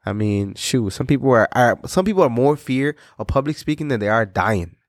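A man's voice: mid-range voice, animated, brisk, no echo, studio quality. Joking Spanish-accented male podcast host. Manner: joking, talkative, playful asides. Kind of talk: casual interview chat.

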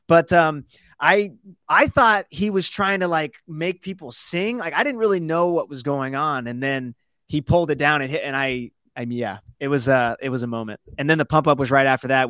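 A man's voice: mid-range voice, a bit bright, clean tone, no room sound, studio quality. A man hosting a podcast, chatting casually and rambling a little as he interviews his guest. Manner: conversational, rambling. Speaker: podcast host.

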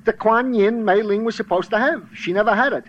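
A man acts an eager police sergeant in a very high male voice. He is chatty, earnest and working-class, a comic sidekick of 1940s radio. The old recording is muffled and slightly noisy. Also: brisk, lightly acted.

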